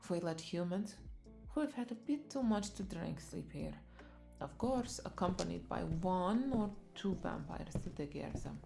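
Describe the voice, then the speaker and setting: Woman doing a seductive vampire bartender, teasing n breathy, bit of fry, intimate roleplay audio w faint music. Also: lowish voice, animated, normal speed. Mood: gentle, reassuring. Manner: flirtatious, teasing, breathy asides dropping into whispers.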